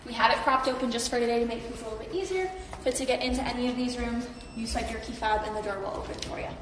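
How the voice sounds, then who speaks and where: A young woman with a slightly raspy voice from a cold, giving a friendly, chatty live tour of an apartment building. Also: on the high side, rapid-fire, noisy background. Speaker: leasing tour host. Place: echoey empty indoor rooms. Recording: echoey room from far off.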